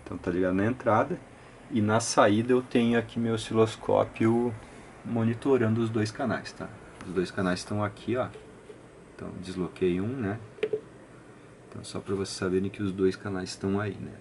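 A man with a Brazilian accent giving an informal hands-on technical tutorial, speaking haltingly with frequent short check-in tags. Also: on the low side, steady tone, slight background noise. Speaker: electronics repair channel host. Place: indoor home electronics workbench.

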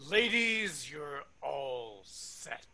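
A performer at a comedy table read playing an eager, clingy, lovesick man who runs a funeral home, with halting, drawn-out emphasis. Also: mid-range voice, sing-song, sharp tone, full character voice. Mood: eager, proud.

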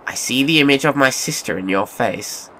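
An actor playing a reformed elderly miser, now a kindly and apologetic gentleman, with formal old-fashioned courtesy in an audio drama. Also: mid-range voice, expressive, normal speed, a little hiss or hum, low-quality recording. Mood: warm, repentant.

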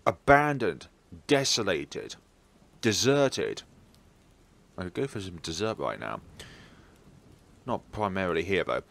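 A man with a fairly low voice narrates a horror game as a let's play host. His pitch moves around a great deal, and he reads theatrically, with wry, playful commentary. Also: sing-song, takes it slowly, creaky, long pauses, fairly bright tone. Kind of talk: playful gaming commentary and expressive read-aloud of a visual novel.

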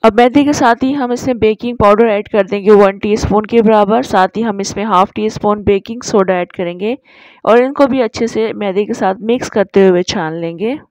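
A woman's voice: medium pitch, moderate pace, darkish tone, no echo, rough recording. A woman narrating a recipe tutorial as a voice-over, explaining each step in an instructive way. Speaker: cooking channel host.

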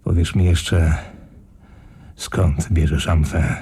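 A man playing a hard, sardonic middle-aged tough guy in a radio play, deadpan, menacing and very raspy. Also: slow, full character voice. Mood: coolly threatening. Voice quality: low, very raspy. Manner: deadpan, menacing, matter-of-fact.

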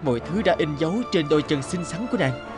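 A man's voice: on the high side, animated, fast, natural voice. Courtly young prince played by a man, music behind. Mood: tender, admiring. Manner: tender, courtly. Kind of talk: animated fairy-tale character acting.

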